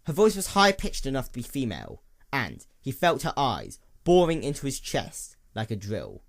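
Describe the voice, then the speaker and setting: Male story narrator, animated pitch, expressive dramatic read. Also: moderate pace. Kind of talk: dramatic scripted narration of fan fiction.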